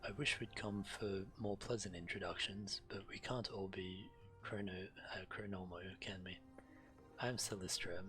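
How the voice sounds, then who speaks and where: A male game streamer with a deep voice reads fantasy quest text aloud in character. His delivery is measured, like storytelling, with clear music playing behind him. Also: bright, forceful tone.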